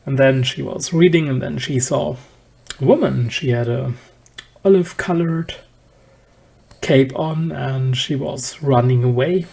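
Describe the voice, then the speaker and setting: A reviewer talks casually through an anime episode at a slow pace. The voice is dark and mellow, and the delivery is halting, with slightly non-native phrasing. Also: mid-range voice, creaky.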